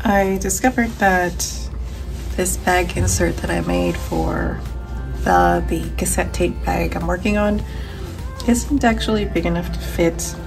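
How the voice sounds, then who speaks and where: A woman's voice: on the low side, very animated, measured pace, creaky, music in the background. A woman in her thirties narrating a casual craft vlog, informally thinking aloud as she goes. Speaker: crochet crafter and vlogger.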